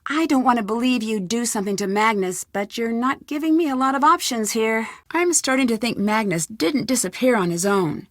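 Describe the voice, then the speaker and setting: Young female sleuth for a video game, played by an actress: fairly high voice, earnest, persistent and polite, dry studio sound. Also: brisk, hardly any pauses, no room sound, natural voice. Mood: stern, earnest. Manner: earnest, probing, gently assertive.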